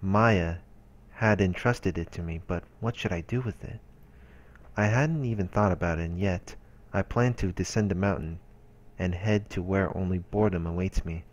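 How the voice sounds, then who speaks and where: Deep-voiced man reading a story. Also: normal speed, muffled recording. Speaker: let's play narrator reading a visual novel aloud. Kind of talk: reading game narration aloud.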